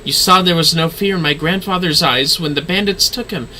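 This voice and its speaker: A man's voice: a little high, brisk, sharp tone, slight background noise, pitched above the performer's own voice, low-quality recording. A man voicing a solemn, kindly mystic mentor, reading game dialogue aloud in an earnest, theatrical way. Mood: solemn, reassuring.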